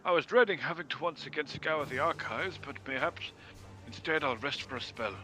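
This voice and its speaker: A man's voice: on the high side, moderate pace, sharp tone, soft background music. A man voicing a tired, scholarly archivist from a fantasy game with a formal, weary delivery.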